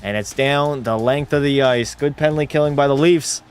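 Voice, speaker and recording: A male streamer giving casual play-by-play of a hockey game and answering his chat in a relaxed way. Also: medium pitch, moderate pace, clean tone, faint music behind. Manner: relaxed, drops into terse hockey call mode.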